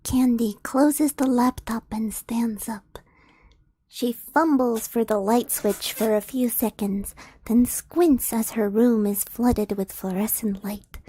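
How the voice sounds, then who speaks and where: A woman's voice: a little high, fairly even intonation, takes it slowly, warm tone, slightly rough, no echo. A young woman streams and reads fanfiction aloud in a giggly, flustered way, with frequent laughs. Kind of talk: casual stream chat and read-aloud.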